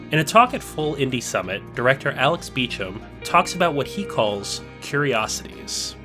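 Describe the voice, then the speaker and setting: A man giving scripted, measured voice-over narration for a video essay, slowing down for emphasis, with soft guitar music behind. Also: medium pitch.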